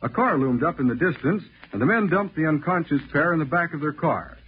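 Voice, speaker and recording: A man's voice: fairly high pitch, animated, brisk, slightly rough. A man with an American accent reading scripted narration in a dramatic, clipped 1940s radio announcer style. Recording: thin, phone-like old radio recording.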